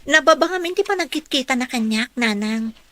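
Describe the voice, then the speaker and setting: A woman's voice: high-pitched, lively intonation, fairly quick, bright, forceful tone. A young woman voicing an earnest, polite young employee in a radio drama.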